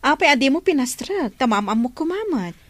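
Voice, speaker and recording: A woman's voice: high voice, sing-song, moderate pace, no room sound. A woman with an Ilocano Filipino accent playing an inquisitive, mildly chiding older sister figure in a radio drama.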